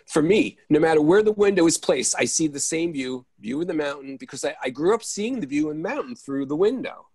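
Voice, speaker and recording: A male actor performs an exasperated, fussy man in an absurdist stage play. His husky voice swings up and down as he repeats himself, halting and flustered. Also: a little high, expressive, darkish tone. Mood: incredulous. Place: indoors on a video call.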